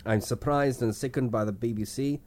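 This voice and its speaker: A man voicing an odd foreign male stand-in producer with a thick put-on accent, halting and full of fillers. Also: mid-range voice, a little mellow, natural voice. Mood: rambling. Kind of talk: improvised comedy podcast character.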